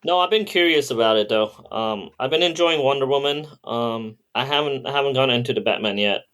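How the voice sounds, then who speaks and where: A man with a middle-pitched voice chatting on a comics podcast. His delivery is conversational and somewhat tentative, like a relaxed panelist thinking through his opinions as he goes. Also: brisk, clean tone. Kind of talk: casual comic book chat.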